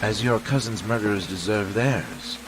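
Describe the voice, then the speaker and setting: An actor playing a solemn, manipulative mentor of thieves in a game cutscene, with a gravelly, formal, ominous delivery. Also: male voice, fairly low pitch, animated, normal speed, noisy background. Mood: solemn, insistent. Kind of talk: dramatic game cutscene dialogue. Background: loud music.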